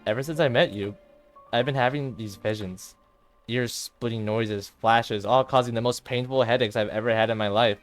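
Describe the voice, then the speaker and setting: A man's voice: medium pitch, normal speed, faint music behind, natural voice. Man as a subdued, guilt-ridden teenage girl. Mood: remorseful. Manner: subdued, hesitant, self-blaming. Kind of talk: dramatic visual novel voice acting.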